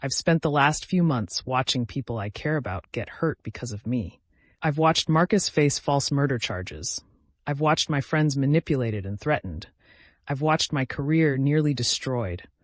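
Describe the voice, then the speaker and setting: A man's voice: medium pitch, animated, moderate pace, no room sound, lightly acted. A man voicing an earnest, defiant woman lawyer. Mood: remorseful pleading. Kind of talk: dramatic audiobook character reading.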